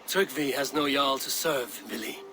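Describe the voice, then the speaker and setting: Male actor as a steady Viking chief; calm, firm, plainspoken. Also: medium pitch, measured pace, noisy background, close to an everyday voice. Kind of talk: video game voice acting.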